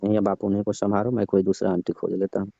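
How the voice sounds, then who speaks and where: Man playing a sulky, low-voiced jealous young lover. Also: flat delivery, quick pace, gravelly, dark tone, no echo. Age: young adult. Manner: sullen, curt, insistent. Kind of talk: staged comic phone-call conversation. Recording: dull, lo-fi phone call recording.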